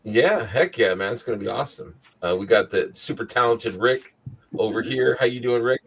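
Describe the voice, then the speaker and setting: A man's voice: mid-range voice, normal speed, husky. A man hosting a weekly live show in casual chat, with a scratchy morning voice, rambling, tired but upbeat.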